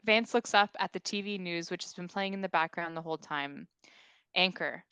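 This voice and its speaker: A woman's voice: fairly low pitch, normal speed, bright, forceful tone, clear, resonant tone, no echo. A woman narrating the stage directions of a scripted comedy table read.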